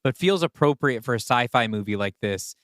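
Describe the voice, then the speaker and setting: A man's voice: medium pitch, animated, fairly quick, fairly bright tone, no echo. Man reasoning aloud, very dry sound. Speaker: podcast co-host and story writer. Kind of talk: casual brainstorming conversation.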